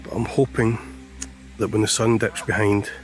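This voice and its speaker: A hoarse man, chatting casually as he vlogs outdoors about landscape photography, with many pauses and fillers. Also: on the low side, level tone. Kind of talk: casual vlog narration. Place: outdoors on a lakeshore, walking. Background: background music.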